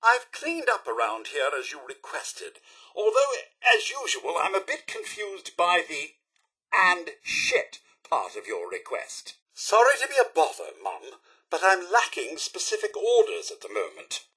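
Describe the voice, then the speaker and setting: A loyal robot butler from a video game with a British accent. The voice is bright and slightly husky, swooping theatrically across a wide range. He speaks with fussy, deferential politeness and formal diction. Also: a little high, huge pitch swings, unhurried, heavily acted. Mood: put-upon, polite. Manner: formal, deferential, fussily courteous. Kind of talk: video game character voice lines.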